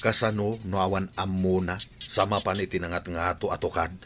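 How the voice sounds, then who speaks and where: A man's voice: low voice, fairly even intonation, brisk, fairly bright tone. A man with an Ilocano accent playing a stern but reasonable father in a radio drama.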